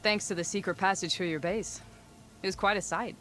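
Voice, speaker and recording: A woman's voice: mid-range voice, steady tone, fairly quick, a bit bright, faint music behind, close to an everyday voice. An actress playing a self-assured female warrior and loyal friend in a video game. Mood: concerned. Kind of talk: video game character acting.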